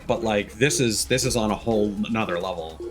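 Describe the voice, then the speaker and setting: A low-pitched man chats casually on a gaming livestream. He rambles and halts as he finds his thoughts, with loose, sweary phrasing. Music plays clearly behind his voice. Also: a little fast. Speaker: game streamer.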